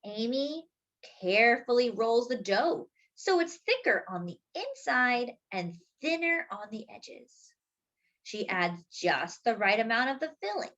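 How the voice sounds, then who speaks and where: A slightly dull recording of a woman hosting storytime for young children. Her voice is fairly high and swoops expressively as she reads a picture book at an unhurried pace, drawing out words and adding asides for her listeners. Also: big pitch swings, fairly bright tone.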